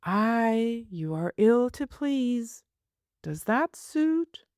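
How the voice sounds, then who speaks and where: A woman voicing a brisk, scolding but fond nursemaid in a storybook, with old-fashioned turns of phrase. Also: a little high, sing-song, darkish tone, no room sound, clean studio sound, voice pushed up, heavily acted. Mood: patient, firm.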